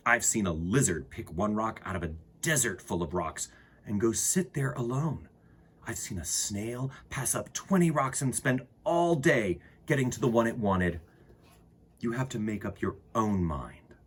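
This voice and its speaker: Husky, very animated male storyteller. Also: on the low side. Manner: expressive, dramatic emphasis and pauses. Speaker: children's storytime host. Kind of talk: animated picture-book read-aloud for children.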